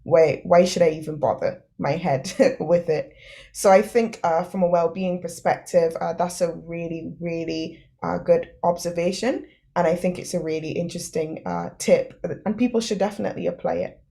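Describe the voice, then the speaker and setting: A woman's voice: lowish voice, fairly even intonation, normal speed, gravelly, warm tone. A woman host chatting with her guest, affirming and laughing often, with many filler sounds. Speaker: podcast host. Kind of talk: interview chat.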